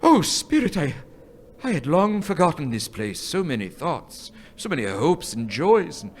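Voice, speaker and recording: A man's voice: on the high side, very animated, measured pace. A man acting a nervous, sentimental old miser in a live radio play, stammering and repeating his words. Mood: wistful, moved. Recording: live stage recording with background noise.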